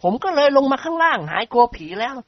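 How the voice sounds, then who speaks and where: A reader voicing a joking, cowardly young man who talks high and excitable. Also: quick pace, no echo, lo-fi sound. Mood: jittery.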